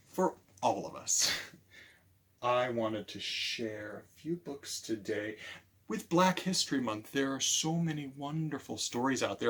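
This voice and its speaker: A man hosting a library storytime for children, animated and speaking directly to his listeners as he presents and tells stories. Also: medium pitch, slightly rough. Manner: animated, repeats key phrases, addresses listeners directly.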